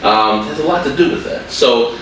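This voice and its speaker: A man's voice: medium pitch, expressive, moderate pace. A man hosting a school podcast in a casual, joking way, full of fillers and asides. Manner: chatty, joking, lots of fillers. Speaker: podcast host. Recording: echoey room, distant mic, faint noise.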